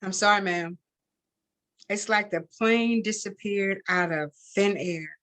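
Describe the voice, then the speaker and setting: Woman reading as a formal, measured police officer. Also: mid-range voice, fairly even intonation, fairly bright tone. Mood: grave.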